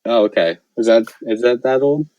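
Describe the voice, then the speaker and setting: A man co-hosting a podcast in casual chat, rambling with many fillers, his voice worn and hoarse. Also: mid-range voice, normal speed, mellow, no echo, dull audio. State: losing his voice. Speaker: podcast host.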